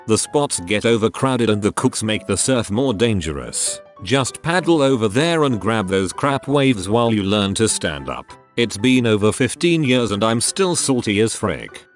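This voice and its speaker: Lively low-voiced male story narrator. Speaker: narrator reading online stories. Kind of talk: scripted narration. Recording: faint background music.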